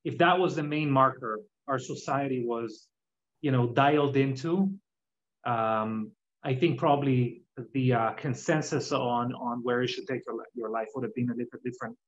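A man's voice: mid-range voice, normal speed. A man from Israel with an Israeli accent, talking reflectively in a casual conversation and explaining his points with examples. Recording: muffled lo-fi audio.

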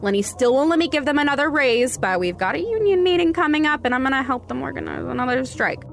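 A woman's voice: sits high, expressive, fast, vocal fry. A woman playing a brash, flirty, salty hotel concierge who teases and stammers in an audio drama. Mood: teasing, fond.

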